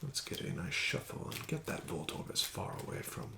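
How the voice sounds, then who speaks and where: A man doing an ASMR casino dealer roleplay, with smooth, flattering, unhurried patter. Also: background noise.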